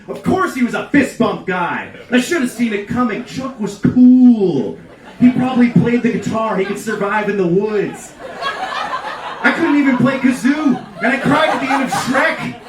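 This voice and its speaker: A man reading a comic story aloud at a live event, with theatrical emphasis and dramatic pauses. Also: fairly high pitch. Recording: echoey live room, distant mic, background noise.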